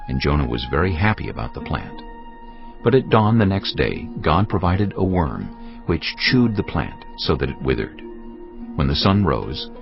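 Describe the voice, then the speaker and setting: A man narrating scripture for a dramatized audio production in a deliberate storytelling manner. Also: rumbling low, gravelly. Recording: dull band-limited audio, faint music.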